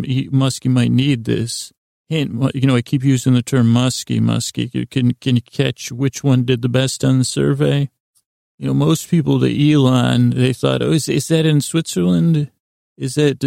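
A man hosting a bedtime podcast in a rambling monologue, halting and often interrupting himself as he wanders off on tangents. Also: medium pitch, creaky, dark tone, no echo, studio quality. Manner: halting, digressive, mumbly self-interruptions. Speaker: podcast host.

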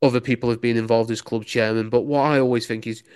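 Young man, Lancashire accent, smooth resonant voice, enthusiastic, chatty, sports reporter. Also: medium pitch, fairly even intonation, fast, a little mellow. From Lancashire, England. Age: young adult. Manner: chatty, enthusiastic, rambling with many "you know"s. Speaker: local radio sports reporter. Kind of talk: casual interview answers.

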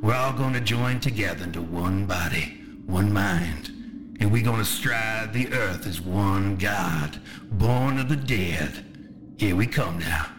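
A man's voice: fairly low pitch, background noise. A man voicing a chatty, menacing undead rotting monster with a folksy Southern drawl, musing at length in a tabletop game. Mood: wistful, ominous. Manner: folksy, drawn-out musing monologue.

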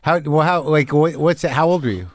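A man in his late fifties from New Mexico, hosting a podcast, asking eager questions with lots of quick affirmations. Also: on the high side, darkish tone, no echo, clean studio sound. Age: 50s. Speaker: podcast host. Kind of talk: casual interview chat.

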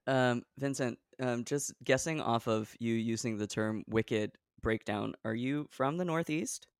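A young man from Oregon hosting a casual comedy podcast chat, with a playful, deadpan way of joking. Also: mid-range voice, measured pace, smooth, dry recording, clean studio sound. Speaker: podcast host. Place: New York.

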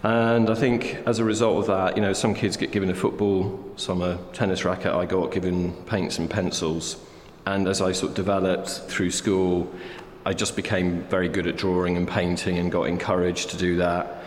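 A deep-voiced man in his fifties reflects on his life in an unhurried, hesitant way, full of little fillers. His voice rings through a roomy hall with a long tail of reverb, and faint ambience sits behind him. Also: level tone, a little hiss or hum. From England. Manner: thoughtful, hesitant, many fillers. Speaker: artist guest. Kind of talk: reflective interview conversation.

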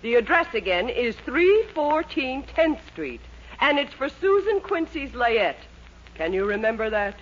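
An actress playing a bossy, excitable middle-aged wife in a theatrical 1940s radio comedy style. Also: female voice, fairly high pitch, measured pace. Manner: theatrical, imperious. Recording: dull old radio recording, faint noise.

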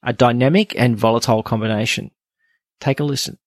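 A man's voice: mid-range voice, steady tone, fairly quick, no room sound, studio quality. A man with an Australian accent hosting a podcast, reading a scripted review. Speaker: podcast host.

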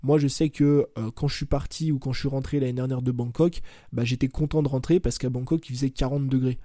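A man's voice: mid-range voice, steady tone, a little fast, warm tone, no room sound, clean studio sound. A man in his twenties hosting a casual solo podcast, talking loosely with lots of fillers and self-corrections. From France. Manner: chatty, loose and informal, says "tu vois" and "bah" constantly, self-corrects. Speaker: podcast host. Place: at home.

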